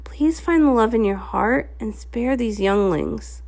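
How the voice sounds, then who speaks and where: Woman playing an earnest, creaky-voiced pony princess. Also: a little high, expressive, a little slow, darkish tone. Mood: earnest pleading. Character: young female pony princess, earnest, compassionate. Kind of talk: comic read-along character voice.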